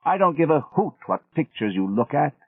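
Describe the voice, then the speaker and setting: A pompous, wounded older artist with a British accent, as a male narrator puts him on, speaking slow and emphatic, pitched well above the narrator's low voice. The sound is tinny and phone-like, with a dry, close feel. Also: sing-song, husky, no echo, heavily acted. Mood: scornful.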